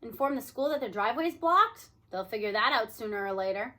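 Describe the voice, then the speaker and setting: A woman's voice: on the high side, lively intonation, brisk, bright, forceful tone. Woman reading as an emphatic, weary male teacher. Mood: dejected. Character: older male teacher, weary, sardonic, stunned by firing.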